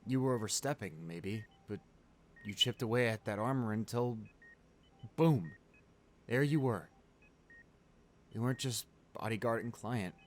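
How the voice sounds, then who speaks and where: A man playing a wealthy, devoted client pleading at his comatose lover's bedside in an intimate ASMR roleplay, emotional and halting. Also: fairly low pitch, lightly acted. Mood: wistful, tender. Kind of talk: ASMR boyfriend roleplay, dramatic monologue.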